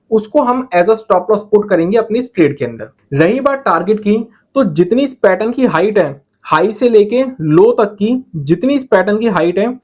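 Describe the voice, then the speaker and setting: A man's voice: sits high, darkish tone. A man speaks as an instructor in an explanatory tutorial. He goes step by step, restating each point for clarity. He often poses a question and then answers it himself. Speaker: trading instructor.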